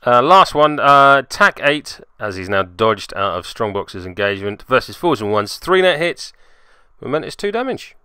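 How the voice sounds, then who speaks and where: Animated, clear-voiced man narrating tabletop gameplay. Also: medium pitch, normal speed, no room sound, clean studio sound. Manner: dryly sarcastic asides. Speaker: host and player narrating tabletop game report. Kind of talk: play-by-play game recap voice-over.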